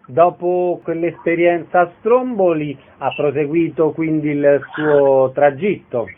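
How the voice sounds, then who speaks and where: A man hosting a radio interview, putting his questions with hesitant pauses. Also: fairly high pitch, phone-quality sound.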